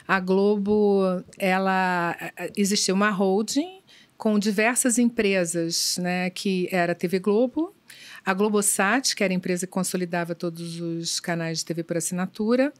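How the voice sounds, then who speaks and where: A middle-aged woman executive answering interview questions in a measured, thoughtful way, pausing often with fillers. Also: mid-range voice. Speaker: business executive guest. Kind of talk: conversational podcast interview.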